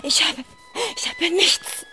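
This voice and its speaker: An actress in a German radio play playing a wounded, proud mistress who speaks in broken, halting phrases over music. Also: female voice, lightly acted. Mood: stricken, resigned. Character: wounded, proud French mistress, passionately attached.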